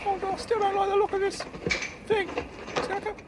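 A man's voice: monotone, quick pace. A man voicing a timid, grumbling older companion who fusses and protests anxiously in a comic audio story. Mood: panicked. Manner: fussy, anxious, hushing and protesting. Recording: faint rumbling background noise.

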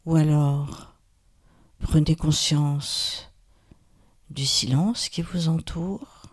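Very slow-paced woman guiding relaxation. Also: low-pitched, slightly rough. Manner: calm, soothing, with long pauses. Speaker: yoga nidra guide, host. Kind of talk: guided relaxation meditation.

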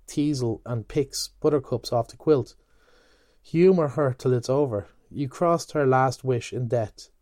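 A man's voice: mid-range voice, warm tone, dry recording, studio quality, lightly acted. A man voicing a brash, mocking young male medical student in a flippant, teasing manner.

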